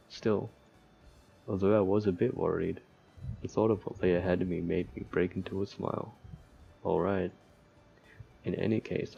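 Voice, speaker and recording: A man doing casual gaming commentary as he reads a visual novel's text aloud, somewhat haltingly, with joking asides. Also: deep voice, vocal fry, mellow, highs cut off. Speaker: let's player reading a visual novel aloud.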